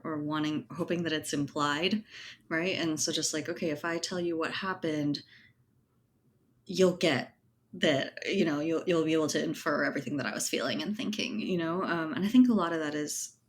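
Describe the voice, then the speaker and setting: A woman's voice: on the low side, slight background noise. A woman speaking as an interview guest in an informal, thoughtful way, often checking in with little tag questions. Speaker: interview guest, writer and editor. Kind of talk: casual conversational interview talk.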